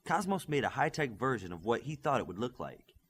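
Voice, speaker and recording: A man narrates an action audiobook in a scripted storytelling style. His voice has an average male pitch, and it moves around a lot in melody from phrase to phrase. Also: very animated, a little fast, husky. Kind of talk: scripted narration of a superhero novel.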